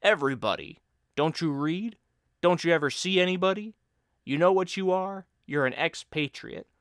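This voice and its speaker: A lazy, wisecracking friend who mock-lectures and needles his companion, as a man reading the story puts him on. The voice sits higher and much louder than the narration, bantering and bossy. Smooth, resonant tone in a dry, close recording. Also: lively intonation, normal speed, dry recording. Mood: mock lecturing.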